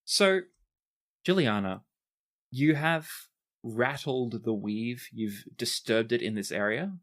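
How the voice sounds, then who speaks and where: A man narrating and voicing characters as game master of a tabletop role-playing game, relaxed and using fillers. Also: mid-range voice, takes it slowly.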